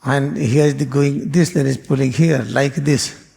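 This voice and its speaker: Man with gravelly voice. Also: medium pitch, little pitch movement, a little slow, darkish tone. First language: Hindi. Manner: earnest explaining, repeats phrases, non-native phrasing. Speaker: spiritual teacher. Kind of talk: spiritual class lecture.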